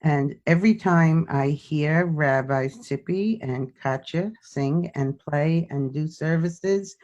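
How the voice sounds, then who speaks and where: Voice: mid-range voice, slow, a little mellow, muffled recording. A person sharing on a video call, talking earnestly and repeating words for emphasis. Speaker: event participant.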